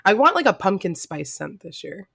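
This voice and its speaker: A woman's voice: medium pitch, sing-song, moderate pace, vocal fry, fairly bright tone, slightly rough. A woman chatting casually as a podcast co-host, with an informal, emphatic way of talking.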